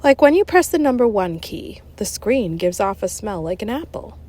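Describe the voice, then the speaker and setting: A woman's voice: mid-range voice, sing-song, normal speed, darkish tone. A woman voicing a gleeful, prank-loving fourth-grade teacher for a children's storybook, announcing and explaining to the class. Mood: brisk, explanatory.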